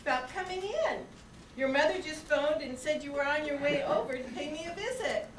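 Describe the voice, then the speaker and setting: A woman's voice: high-pitched, lively intonation, brisk. A woman playing Mary Hatch, a forthright, coy young small-town woman in an old-time radio drama. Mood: coy, teasing. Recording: echoey room, distant mic, hiss.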